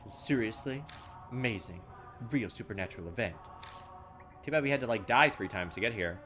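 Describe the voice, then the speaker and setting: A man's voice: lowish voice, lively intonation, a little fast, over music. A man playing a horror visual novel, reading its text aloud and thinking aloud with restarts, mildly exasperated at trial and error. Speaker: let's play host. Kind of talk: casual game commentary, reading text aloud.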